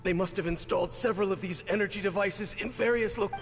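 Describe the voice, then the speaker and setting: A man voicing a stern, mysterious warrior guide in a fantasy game, speaking gravely and with command. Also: high-pitched, quick pace, faint music behind. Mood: grave, urgent. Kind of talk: scripted game dialogue.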